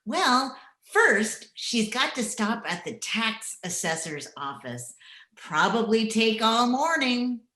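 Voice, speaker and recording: A woman playing a wry, plainspoken, slangy member of the household in an old-time radio drama, dry and deliberate. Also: on the high side, sing-song, sharp tone, roomy sound, full character voice. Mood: dry, skeptical.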